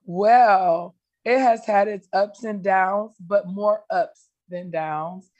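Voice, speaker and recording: A woman guest speaking on a community radio show over a video call. Her voice is slightly raspy, and she answers in a friendly, upbeat way. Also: medium pitch, takes it slowly, a little mellow, muffled recording. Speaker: guest, small business owner. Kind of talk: interview answers.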